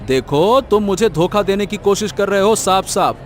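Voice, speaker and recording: A man's voice: high-pitched, expressive, moderate pace, a little hiss or hum. A man playing a naive, honest village vegetable seller in a cartoon, earnest and plaintive, often repeating himself. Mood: indignant. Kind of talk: Hindi cartoon moral story acting.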